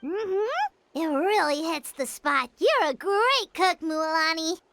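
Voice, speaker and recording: A woman voicing a tiny, childlike fairy companion in a video game, squeaky, perky and exclamatory. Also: lively intonation, takes it slowly, fairly bright tone, dry recording, faint music behind, full character voice. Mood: cheerful, hungry. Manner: exclamatory, speaks of herself in the third person.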